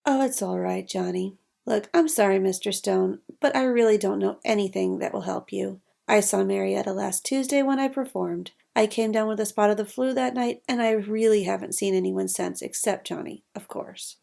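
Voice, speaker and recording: A woman's voice: mid-range voice, moderate pace, gravelly, hardly any pauses, mellow. A woman playing a genteel, evasive lounge singer in her thirties in a noir audio drama, polite and theatrical. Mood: politely evasive.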